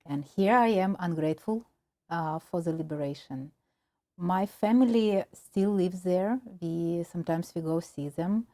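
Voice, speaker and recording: A woman's voice: on the low side, measured pace, mellow, no echo. A Ukrainian woman, a native Russian speaker, answering interview questions with many fillers and restarts. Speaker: film producer and guest.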